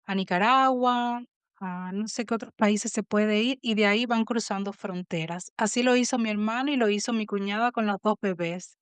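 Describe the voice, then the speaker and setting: A Cuban woman in her thirties, a podcast guest telling her story in a reflective, halting way with many restarts. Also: medium pitch, clean tone, no room sound. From Cuba. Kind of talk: casual interview.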